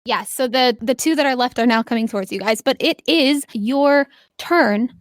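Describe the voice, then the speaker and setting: Woman game master, kinda high voice, easygoing and chatty, close dry sound. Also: normal speed, dry recording. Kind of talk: casual tabletop game narration.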